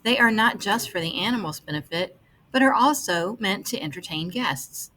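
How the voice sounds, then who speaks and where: A woman reading an article aloud as scripted narration, with measured, deliberate phrasing. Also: medium pitch, animated, fairly bright tone.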